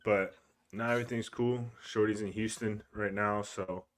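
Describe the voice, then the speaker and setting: A man's voice: on the low side, fairly even intonation, normal speed, a little hiss or hum. A young man co-hosting a podcast in casual chat, talking in a laid-back, rambling way and swearing often. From Cuban heritage. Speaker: podcast co-host.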